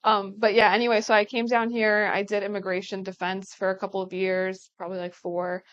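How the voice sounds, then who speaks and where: A chatty young woman from Brooklyn whose first language is Polish, speaking at an average pitch. She tells her story as a guest attorney in a relaxed podcast interview, full of quick asides. The recording sounds a little dull and lo-fi. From Brooklyn, New York.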